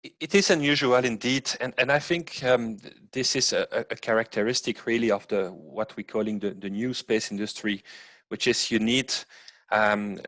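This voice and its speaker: A man's voice: lowish voice, moderate pace, fairly bright tone, no echo. A man with a foreign accent speaking conversationally as a launch director being interviewed on a panel. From outside New Zealand.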